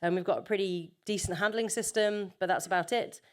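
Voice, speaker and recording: A woman's voice: mid-range voice, fairly even intonation, brisk, clear, resonant tone. A woman giving a conference panel talk about her work and farming business. She speaks conversationally, with many filler ums and frequent self-corrections.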